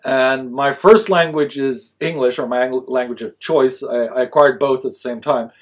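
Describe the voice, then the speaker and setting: A man's voice: medium pitch, fairly even intonation, moderate pace. A man with a mixed European-American accent hosting a live-stream panel chat in a small studio. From Sweden and France. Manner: chatty, jumbled phrasing. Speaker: host. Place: small studio with a world map.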